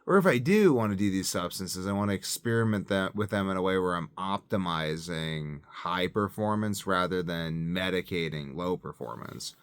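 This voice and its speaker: A man's voice: low voice, normal speed, few pauses, clean tone, no room sound. A man talking casually in a rambling video diary, repeating phrases for emphasis, recorded on a phone set up nearby. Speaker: self-experiment health vlogger and parent. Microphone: phone set up close by.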